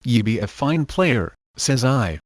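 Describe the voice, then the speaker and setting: An actor playing a sly, swaggering pirate villain in a cartoon game, with a theatrical, wheedling pirate brogue. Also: male voice, medium pitch, sing-song, slow, no room sound, studio quality. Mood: sly, bargaining. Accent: stereotypical pirate brogue. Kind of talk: video game character acting.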